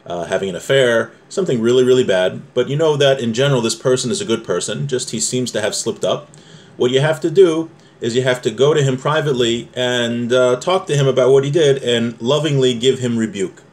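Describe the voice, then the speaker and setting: A man's voice: mid-range voice, fairly quick. Man teaching a religious law class. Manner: conversational, explains step by step with rhetorical questions. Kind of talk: informal text lesson, reading a source aloud and explaining it.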